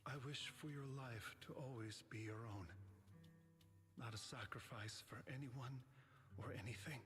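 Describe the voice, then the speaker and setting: A man voicing a weary, remorseful master and guardian in a game, speaking in a solemn, measured way. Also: mid-range voice, fairly even intonation, a bit bright, close to an everyday voice. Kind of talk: dramatic game voice acting. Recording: music behind the voice.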